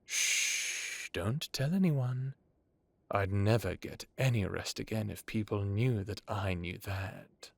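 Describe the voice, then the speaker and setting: A man playing an ancient, courteous, world-weary vampire lord in an audio roleplay, speaking in a measured, knowing, genteel way. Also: lowish voice, creaky, breathy edge, dry recording, heavily acted. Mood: courtly, tired. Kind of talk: dramatic audio roleplay acting.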